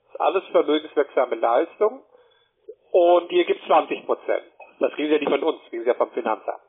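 A man giving a wordy telephone sales pitch, often adding a short checking question. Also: expressive, a little fast, breathy edge. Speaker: telemarketer. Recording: muffled narrowband phone line.

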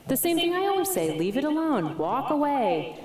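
A woman's voice: high-pitched, sing-song, normal speed, a little mellow, voice pushed up. A woman playing her frazzled, weary self as a mother of young girls in a memoir reading. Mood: exasperated. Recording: echoey theatre sound with audience noise.

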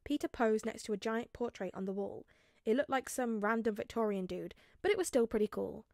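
A woman narrating fan fiction in an expressive storytelling style. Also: on the high side, fairly quick, gravelly, no echo, studio quality.

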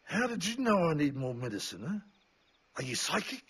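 An actor playing a forgetful, cranky old man in a video game, with a husky voice and a querulous, rambling manner. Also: a little high, very animated, moderate pace, a bit bright, exaggerated voice. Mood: confused, rambling. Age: older. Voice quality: husky, raspy. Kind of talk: video game character acting.

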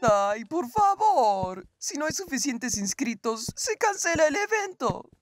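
A woman's voice: high voice, big pitch swings, measured pace, soft background music. A woman voicing a dramatic, pleading young college student in a webtoon dub. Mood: pleading, whiny.

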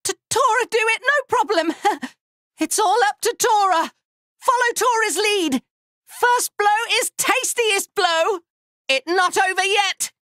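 A man's voice: extremely high pitch, slow pace, bright, forceful tone, no echo, clean studio sound, full character voice. A man voicing a small furry creature from a video game, eager, boastful and childlike, speaking in cutesy, exclamatory broken phrases. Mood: determined, cheerful. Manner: cutesy broken grammar, third-person self-reference, exclamatory. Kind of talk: video game battle voice lines.

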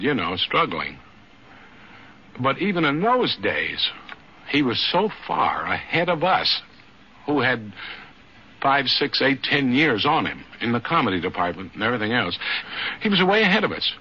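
Husky older man reminiscing. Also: fairly low pitch. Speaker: former vaudeville performer, interviewee. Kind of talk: spoken reminiscence. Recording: dull 1950s radio recording.

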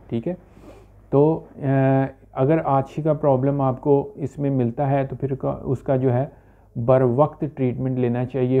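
A man, a homeopathic doctor giving an informal, halting medical explanation to camera with English terms mixed in. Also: mid-range voice. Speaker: homeopathic doctor presenting a health video. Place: small furnished indoor room. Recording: dull, limited-bandwidth phone-like sound.

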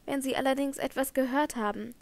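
A frightened young singer pours out her dread in anguished, trembling tones, as a woman reading a storybook voices her. The voice sits a little high and rises higher in her distress. It is plain audiobook reading. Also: steady tone, a little fast, creaky, dry recording.

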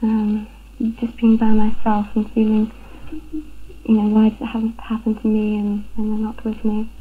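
Young Englishwoman, interviewee, hesitant delivery in a narrow pitch range; tinny 1970s film recording. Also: monotone, moderate pace, a little hiss or hum. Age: young adult. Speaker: interviewee, burglary victim. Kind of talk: personal account in a documentary interview. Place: room at home, indoors.

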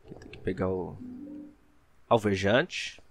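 Young male Brazilian gamer, lowish voice, informal joking play-by-play, some noise and game ambience behind. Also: a little slow, a bit bright. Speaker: gaming video host. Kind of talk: casual gameplay commentary. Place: small room indoors.

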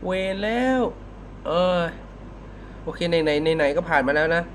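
A man giving casual, unscripted reaction commentary as a video host, halting and repeating words as he thinks. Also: on the high side, steady tone, fairly bright tone, slight background noise.

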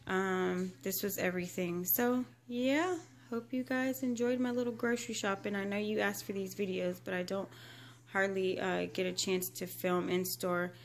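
A woman's voice: medium pitch, steady tone, normal speed. An American woman chatting casually to her viewers as a vlogger, recorded indoors at home on a handheld camera. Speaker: couponing vlogger.

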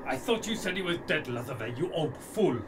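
A man's voice: on the high side, very animated, fairly quick. A man playing a blunt, grumbling, indignant henchman conspirator in a radio play. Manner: blunt, complaining. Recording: faint background noise.

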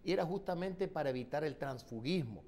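A middle-aged man as a guest on a TV studio interview, answering in a measured, formal, explanatory way on a lapel mic. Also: a little high, animated, darkish tone. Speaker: political guest.

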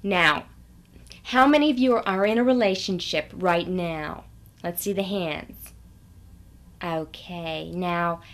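A woman plays a condescending self-help seminar leader for radio satire. She has a medium-pitched voice and briskly coaxes and patronizes her listeners. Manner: patronizing, coaxing the audience.

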